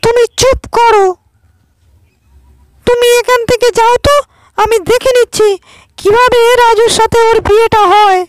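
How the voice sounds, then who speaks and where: A woman as a very shrill haughty cartoon rich girl. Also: level tone, a little slow, pauses often, poor audio. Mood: angry, vengeful. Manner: haughty, emphatic. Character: spoiled, vengeful young landlord's daughter, scheming and haughty. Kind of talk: cartoon moral-story acting.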